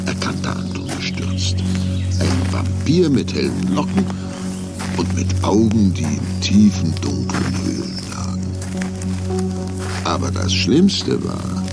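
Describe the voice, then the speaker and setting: A man's voice: on the low side, gravelly. A man narrating a scripted German children's radio play as a storyteller, with dramatic, suspenseful delivery.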